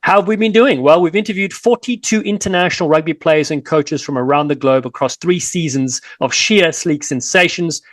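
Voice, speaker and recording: A South African man co-hosting a rugby podcast, chatty and energetic with playful teasing. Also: a little high, moderate pace, hardly any pauses, no room sound, studio quality. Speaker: podcast co-host and rugby commentator.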